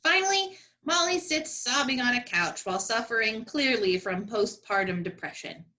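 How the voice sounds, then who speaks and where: A woman's voice: fairly high pitch, expressive, moderate pace, pushed and bright. A woman narrating a comedic scripted radio play with sassy, dramatic delivery.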